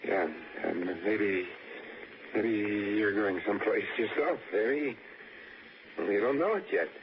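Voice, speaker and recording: An actor playing a restless, secretly murderous drifter who tells his own story haltingly in a 1960s radio suspense drama. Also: lowish voice, dull audio. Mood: hesitant, coaxing. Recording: noisy, band-limited 1960s radio recording.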